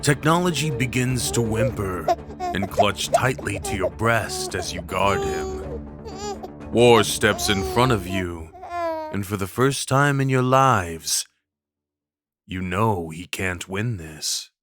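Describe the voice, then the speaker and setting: Guy narrating a dramatic fantasy story, slow and solemn, music playing pretty loud behind him. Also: fairly low pitch, lively intonation. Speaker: narrator and voice performer. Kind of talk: scripted second-person dramatic story narration. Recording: prominent music under the voice. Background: dramatic background music.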